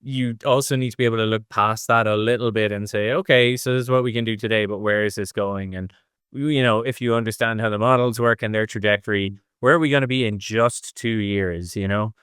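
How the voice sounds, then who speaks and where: Middle-aged male interview guest, clear resonant voice, casual and discursive, closely recorded with no room sound. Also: on the low side, steady tone, a little fast, a bit bright, no room sound, clean studio sound. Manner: discursive, thinks aloud in long hedged explanations. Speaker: interview guest, design executive.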